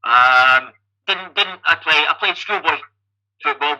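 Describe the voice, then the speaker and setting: Bright, sharp-voiced Scottish man in his fifties. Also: a little high, measured pace, muffled recording. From Scotland. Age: 50s. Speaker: podcast guest, amateur endurance athlete. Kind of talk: conversational life-story telling.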